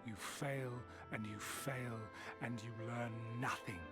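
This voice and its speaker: Slow, disdainful ancient villain played by a man. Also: medium pitch, fairly even intonation, natural voice. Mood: scornful. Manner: measured, archaic, formal, disdainful. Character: ancient male Ascian emissary, cold, condescending schemer. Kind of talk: dramatic fantasy video game acting. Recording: music behind the voice.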